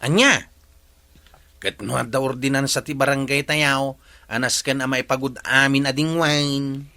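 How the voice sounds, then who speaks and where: A man's voice: mid-range voice, fairly even intonation, a little slow, bright, forceful tone. A man with an Ilocano accent playing a stubborn, bantering village goat keeper in a comedy radio drama. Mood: indignant. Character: stubborn, argumentative village man who keeps goats.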